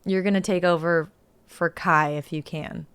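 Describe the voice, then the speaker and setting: A woman's voice: on the low side, steady tone, normal speed, a bit bright, clean tone. A young adult woman chatting casually in a group as one of the readers on a stream.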